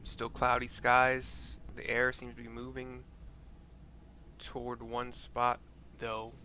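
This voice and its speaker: A man streaming a game, casually describing cutscenes in a halting, piecemeal way, with game music behind him. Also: mid-range voice, completely flat delivery, background noise. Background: game music and sound.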